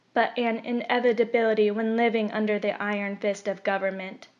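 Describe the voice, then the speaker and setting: A woman reading a scripted opinion article aloud in a measured, formal delivery. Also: fairly high pitch. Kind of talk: scripted narration. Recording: dull, lo-fi recording.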